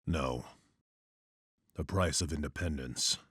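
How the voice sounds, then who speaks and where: A man's voice: a bass voice, slow pace, a bit bright, husky, no echo, studio quality, exaggerated voice. A man voicing a grim, brooding vigilante detective who narrates in terse, clipped lines. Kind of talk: comic narration.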